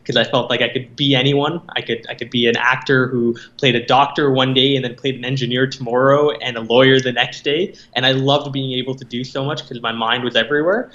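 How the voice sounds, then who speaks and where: A man in his twenties joins a podcast as a guest and tells his story in an easygoing, conversational way. His voice sits at a middling pitch. It comes through a dull, lo-fi line with a roomy echo around it. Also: fairly even intonation, brisk, few pauses, a bit bright. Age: 20s. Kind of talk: podcast interview. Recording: dull, echoey remote line.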